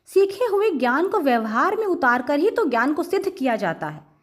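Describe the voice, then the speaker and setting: A wise sage from a moral storybook , voiced by a woman, instructs his disciple with measured, rhetorical phrasing. The voice is high and moves expressively up and down. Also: very animated. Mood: calm, instructive.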